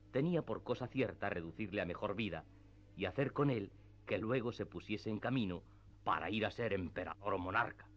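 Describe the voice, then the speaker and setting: A male narrator with a fairly low voice reads classic literary prose for a radio drama. The delivery is scripted storytelling, and the sound is slightly dull and muffled.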